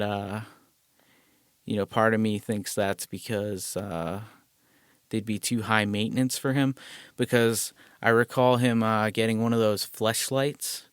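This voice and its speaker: A man talks alone into a close podcast mic, rambling and profane, poking fun at himself as he goes. His voice sits fairly low and comes through smooth and resonant. The room is dead and dry, with no echo at all. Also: fairly bright tone. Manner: rambling, profane, self-deprecating asides. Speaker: podcast host. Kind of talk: casual solo podcast monologue.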